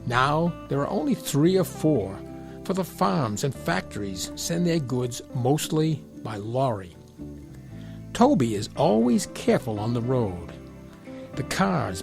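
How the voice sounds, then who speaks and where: A man's voice: mid-range voice, sing-song, slow, gravelly, over music. A man narrating a children's storybook tale as part of an audio drama.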